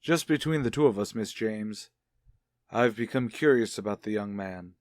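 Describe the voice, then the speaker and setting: A man playing an inquisitive child psychologist, formal and deliberate, in a radio soap opera. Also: on the low side, no room sound, clean studio sound, pitched below the performer's own voice, close to an everyday voice. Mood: measured, curious.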